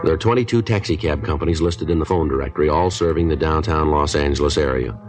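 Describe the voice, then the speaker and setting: Low, rapid-fire, deadpan American police detective. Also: male voice. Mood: matter-of-fact. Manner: deadpan, terse. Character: stoic, matter-of-fact male police detective. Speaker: actor narrating and playing the lead. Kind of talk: 1950s radio drama, clipped procedural narration. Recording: old radio recording, faint music.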